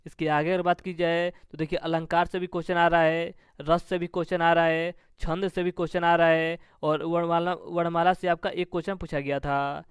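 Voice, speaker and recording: Male coaching instructor, very clean smooth voice, close dry sound, formulaic question-then-answer delivery. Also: fairly high pitch, flat delivery, fairly quick, hardly any pauses, no echo. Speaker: exam coaching teacher.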